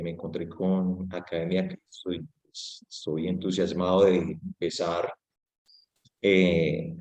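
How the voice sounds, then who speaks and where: A man introduces himself to his online class over a video call. He hesitates often and fills pauses, and his voice is very deep. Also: darkish tone. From Colombia. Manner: hesitant, many fillers. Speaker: student.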